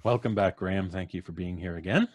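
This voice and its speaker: A man's voice: low-pitched, fast talker, slight background noise. A man with a North American accent hosting a casual live stream, jovial and playfully flowery in his introductions. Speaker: host and showrunner of a storytelling podcast. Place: at home, on a live video stream.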